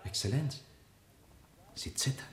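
A man in a radio play acting a timid yet stubborn poet and diarist, hesitant, formal and quietly insistent. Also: slow pace, reverberant, natural voice. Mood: calmly in control.

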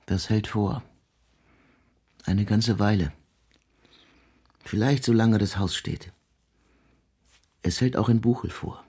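Despairing ailing composer, radio drama, low husky voice, formal brooding delivery, bleak and weary. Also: male voice, slow pace, long pauses, mellow, dry recording, studio quality, exaggerated voice. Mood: resigned, musing. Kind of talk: literary radio drama acting.

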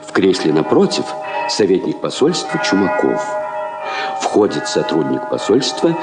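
A man's voice: low voice, huge pitch swings, unhurried, loud music behind the voice. A man giving scripted scene-setting narration for a Soviet radio play.

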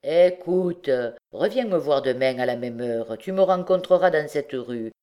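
A woman voicing a callous male ex-convict, a rough street villain who talks in a scornful, slangy, offhand way. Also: deep voice, animated, a little slow, dark tone. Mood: coolly confident.

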